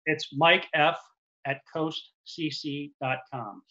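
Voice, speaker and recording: Man in his forties, lender giving a webinar over a headset; forceful bright tone, restarts often, lo-fi call audio. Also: a little high, slow pace. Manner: explanatory, with frequent restarts. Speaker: commercial lender. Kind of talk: webinar presentation. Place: office with printed backdrop. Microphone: headset boom mic on a video call.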